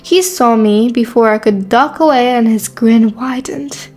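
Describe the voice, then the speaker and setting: Woman acting a high-voiced, guarded teenage girl. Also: unhurried, a little mellow, soft background music. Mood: flustered, reflective. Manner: dry, defensive, sarcastic. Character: guarded, prickly quiet new high school girl. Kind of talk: first-person narration and dialogue in a teen romance.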